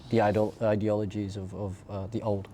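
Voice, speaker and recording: A man with an Australian accent and a fairly low voice tells their story casually, pausing and rewording as they go. The tone is soft-edged and mellow. There is noticeable ambient noise behind them. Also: little pitch movement. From Perth, Australia. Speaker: interview guest telling their life story. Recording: clear background ambient noise.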